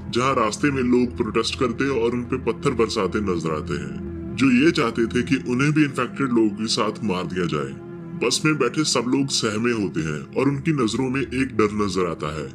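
A man reading a scripted film recap as a storytelling narrator. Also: on the low side. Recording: loud music bed under voice-over. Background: background music.

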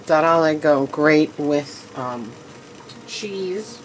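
A woman with a low voice hosts a relaxed cooking lesson from her home kitchen. She talks to her viewers in a chatty, enthusiastic way as she works at the stove. A light background hiss runs under the camera-mic sound. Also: drawn-out words, rough recording. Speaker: home cooking show host. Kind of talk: casual cooking demonstration.

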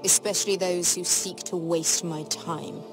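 Woman acting as young queen, lowish voice, slow measured delivery, imperious, suspicious, game drama. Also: fairly even intonation, over music, lightly acted, rough recording. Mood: cold threat. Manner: measured, regal, threatening.

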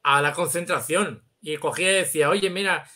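Bright-voiced middle-aged man from Spain. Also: on the high side, a little fast. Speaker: guest. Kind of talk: casual chat, anecdote telling. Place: indoor room, on a video call. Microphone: video call.

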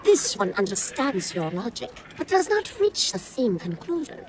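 An actress playing a cold, scheming insect-woman minister in a fantasy game, formal, detached and calmly menacing. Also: a little high, moderate pace, slight background noise. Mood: calculating. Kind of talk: dramatic fantasy game acting.

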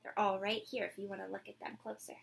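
A woman in her twenties doing an expressive, theatrical picture-book read-aloud for children, drawing out words and putting questions to her young listeners. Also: mid-range voice, fast, gravelly, breathy edge. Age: 20s. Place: small decorated room indoors.

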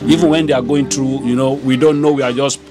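A middle-aged man who owns a small shop, talking in an unhurried way and repeating phrases as he explains, recorded on a handheld mic indoors. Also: mid-range voice, steady tone. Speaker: shop owner. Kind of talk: casual interview. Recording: background music under speech.

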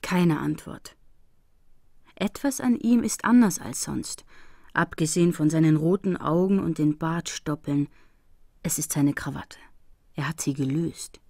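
An actress playing a wounded, pleading woman detective in a crime radio drama, narrating and speaking in a measured, hushed way. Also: low voice, vocal fry, no echo, clean studio sound, full character voice. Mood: tense, observant.

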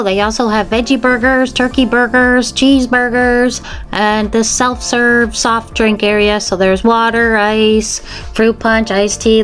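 A woman with a mid-pitched voice narrates a casual travel vlog over faint music. She talks like a tour guide, chatty and direct, putting questions and practical tips to the viewer.

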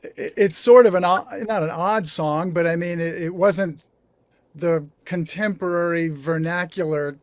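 A man in his sixties with an American accent, talking reflectively in an interview, halting and correcting himself as he goes. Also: on the high side, fairly even intonation, no room sound, studio quality. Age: 60s. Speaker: songwriter guest. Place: small home recording studio. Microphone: video call.

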